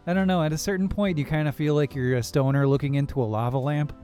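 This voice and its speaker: Mellow-voiced laid-back podcast guy. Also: fairly high pitch, fairly even intonation, fast. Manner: laid-back, rambling, jokey. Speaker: podcast co-host. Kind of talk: casual film podcast chat. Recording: faint music behind.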